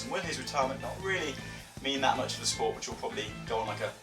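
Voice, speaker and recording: A British man in his early twenties talks to his viewers like a vlogger, wry and mock-dramatic with self-deprecating humour. His mid-pitched voice sounds roomy and set back from the mic, with music playing behind. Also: recorded from a distance. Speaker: vlogger and radio presenter. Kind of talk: chatty, scripted-feeling opinion vlog.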